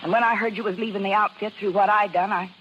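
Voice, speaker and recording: An actress playing a tired, broke carnival dancer in a 1930s American radio drama, speaking hesitant, folksy slang. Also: female voice, mid-range voice, steady tone, quick pace, fairly bright tone, highs cut off, slight background noise, lightly acted. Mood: despairing, resigned. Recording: vintage 1930s radio, faint music behind.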